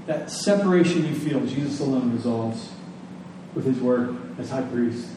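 A man's voice: on the high side, dark tone. A middle-aged man preaching a sermon in an earnest, conversational way, repeating phrases for emphasis. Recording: echoey room, distant mic, faint noise.

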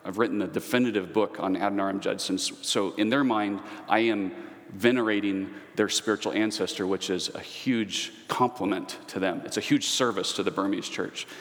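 A man's voice: on the low side, fairly even intonation, normal speed, fairly bright tone. A man giving a conversational history lecture. He wanders into asides and personal anecdotes as he teaches. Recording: long reverb, echoey hall.

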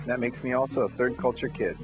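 A man who grew up as a missionary kid, calmly speaking about his ministry in a mission video. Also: medium pitch, fast talker. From grew up in Sri Lanka, India and Egypt. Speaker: missionary school counselor. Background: music.

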